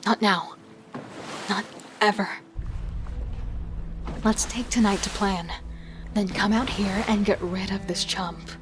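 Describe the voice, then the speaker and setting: A woman's voice: mid-range voice, fairly even intonation, slow pace, pauses often, background noise, close to an everyday voice. A woman playing a confident, seductive serial killer who schemes and persuades with relish in an audio drama. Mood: excited, scheming. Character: cold, thrill-hungry female serial killer, confident and seductive. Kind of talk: audio drama acting with first-person narration.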